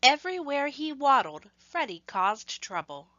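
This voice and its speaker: A woman reading a scripted story to children, with expressive, measured phrasing and emphasis on action words. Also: sits high, no echo, dull audio.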